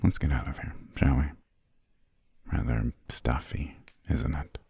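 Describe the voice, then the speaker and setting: Very deep, gravelly vampire lord, acted by a man. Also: a little slow, dark tone, no room sound, heavily acted. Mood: courteous, brisk. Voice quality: breathy, gravelly low murmur. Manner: formal, haughty, old-fashioned genteel phrasing. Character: imperious, aristocratic male vampire lord of a castle. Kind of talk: whispered ASMR roleplay, slow scripted monologue.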